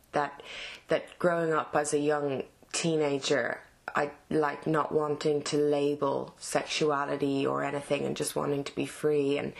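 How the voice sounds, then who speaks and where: A woman from Ireland with a Dublin Irish accent, an actress answering interview questions in a halting, casual way with many fillers and restarts. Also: low voice, creaky.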